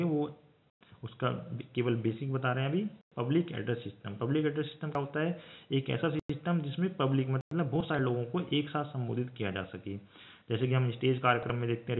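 Male lecturer, phone-like sound. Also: mid-range voice. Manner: didactic, rephrasing each point. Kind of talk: explanatory slide lecture mixing Hindi with English technical terms. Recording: thin phone-like band-limited audio.

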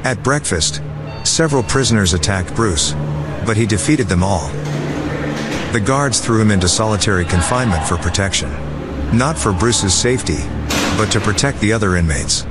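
Male narrator for audio drama. Lowish register, scripted dramatic storytelling, heavy music bed. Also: expressive, normal speed.

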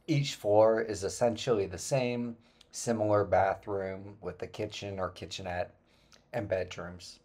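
Low-voiced man narrating a travel vlog. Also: a little slow. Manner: relaxed, explanatory, with frequent "uh" fillers. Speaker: travel vlogger. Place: indoor room, then voice-over on hike footage.

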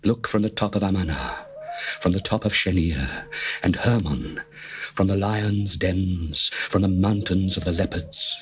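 A man playing a slow, devoted bridegroom. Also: sits low. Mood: adoring. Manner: slow, measured, reverent delivery. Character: adoring male bridegroom, young adult, tender and devoted. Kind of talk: dramatized scripture reading.

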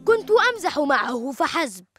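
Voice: sits high, very animated, normal speed, a bit bright, faint music behind. An actor voicing a cheeky young boy in a cartoon, who teases and mocks his siblings with sarcasm. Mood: teasing. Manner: sarcastic, teasing. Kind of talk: animated cartoon dialogue.

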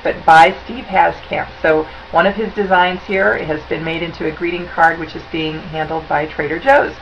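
Woman, 40s, lowish voice, chatty upbeat presenter, webcam at a desk, faint hiss. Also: steady tone, normal speed, vocal fry, dull audio. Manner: chatty, upbeat and conversational, with fillers. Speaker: auction consultant presenting tips. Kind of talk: casual webcam presentation over slides. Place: indoor room.

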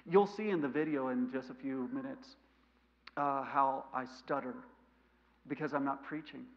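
A man in his thirties with a mild stutter, preaching a sermon in a church sanctuary, animated and gesturing, swinging between loud emphasis and quiet asides. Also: medium pitch, moderate pace. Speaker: missionary preacher. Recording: lo-fi church recording.

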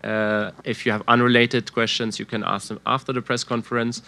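A man's voice: medium pitch, little pitch movement, a little fast, creaky, bright, forceful tone. A middle-aged man formally moderating a press conference. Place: indoor press conference stage. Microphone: gooseneck microphone in front of seat.

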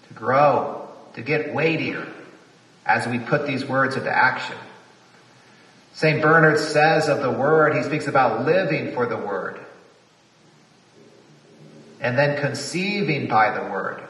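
A man's voice: medium pitch, bright, forceful tone, echoey room, long reverb tail, recorded from a distance, low-quality recording. A man, a priest, preaching a reflective homily at a retreat, repeating phrases for emphasis.

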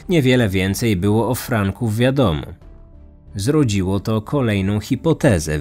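Low-voiced man narrating true crime. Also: darkish tone. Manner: measured, serious. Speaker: podcast host and narrator.

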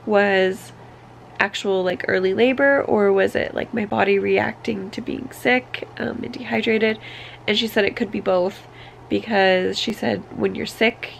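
Casting: woman, thirties, congested and under the weather, heavy vocal fry, casual bedroom vlog with faint background noise. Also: medium pitch. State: congested with a cough, sick. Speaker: family vlogger. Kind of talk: casual personal vlog update. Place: small bedroom, indoors. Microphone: camera held at arm's length.

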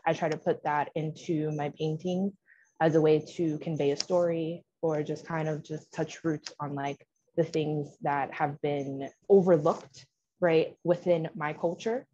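A young woman painter describes her work on a video call in a measured, reflective way. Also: low voice, steady tone, dark tone. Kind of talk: video call artist talk. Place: room at home. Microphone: laptop video call. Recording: dull video call audio with faint noise.

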